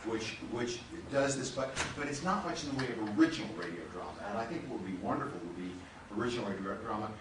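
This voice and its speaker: A man's voice: on the low side, lively intonation, fast talker. An American man, a university dean, moderating a live public discussion and inviting questions. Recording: echoey hall from far off, noisy.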